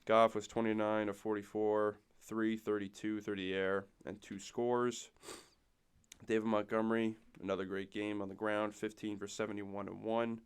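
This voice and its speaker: A young man, hoarse and nasal from a cold, co-hosting a casual podcast and rattling off game stats. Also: fairly low pitch, level tone, moderate pace. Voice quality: raspy, nasal. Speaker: podcast co-host. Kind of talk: casual sports chat.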